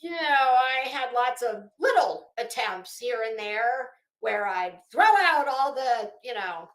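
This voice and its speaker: Woman, higher-pitched voice, unhurried delivery, casual livestream chat, rambling and emphatic, opinionated. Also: lively intonation, echoey room, low-quality recording. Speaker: livestream host.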